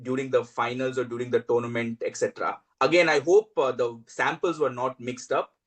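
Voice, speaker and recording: A man co-hosting a podcast in casual chat, setting up his points in a measured, wry way. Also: medium pitch, steady tone, fairly bright tone. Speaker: podcast co-host.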